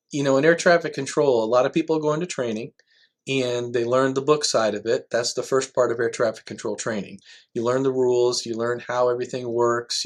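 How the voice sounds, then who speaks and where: Conversational man as podcast guest. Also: medium pitch. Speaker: air traffic control manager, podcast guest.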